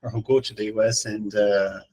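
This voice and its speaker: A man's voice: lowish voice, steady tone, a little fast, darkish tone, breathy edge. A man from Brazil with a Brazilian Portuguese accent, a native Portuguese speaker and English teacher, hosting a casual interview with hesitant, filler-laden speech. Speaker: podcast host, English teacher.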